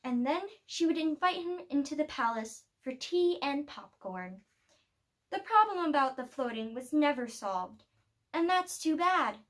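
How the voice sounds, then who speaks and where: A woman reading a children's picture book aloud, telling the story expressively with deliberate pauses. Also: sits high, animated. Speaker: storybook reader.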